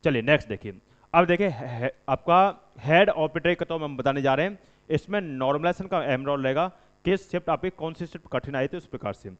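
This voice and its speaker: Reverberant, smooth man teaching. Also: fairly high pitch, a little fast. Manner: instructive, repeats points for emphasis. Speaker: exam-coaching presenter. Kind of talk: informal explainer addressed to students. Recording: dull lo-fi recording, long reverb.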